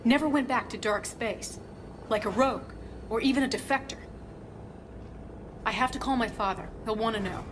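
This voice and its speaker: A woman's voice: a little high, background noise, close to an everyday voice. An actress playing an earnest, shaken female archaeologist in a video game, speaking in a hesitant, scholarly way. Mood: dazed, curious. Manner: hesitant, halting delivery. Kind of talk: cinematic video game dialogue.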